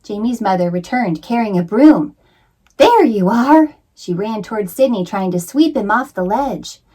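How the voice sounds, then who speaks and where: A woman narrating a children's picture book in an expressive, theatrical read-aloud style with suspenseful emphasis. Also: medium pitch, normal speed. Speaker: children's author and librarian reading aloud.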